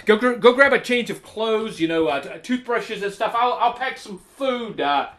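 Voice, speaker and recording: A man's voice: sits high, animated, normal speed, a bit bright. An American man acting in an audio drama as a panicky, sarcastic, paranoid boyfriend who stammers and swears in exasperation. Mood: panicky, urgent. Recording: clear background noise, phone-diary sound.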